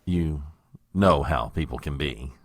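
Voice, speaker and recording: Casting: male actor as long-suffering political aide, low voice, slow and formal, exasperated, for comedy audio drama. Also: no echo, exaggerated voice. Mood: awkwardly tactful. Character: harried, exasperated, world-weary male chief of staff.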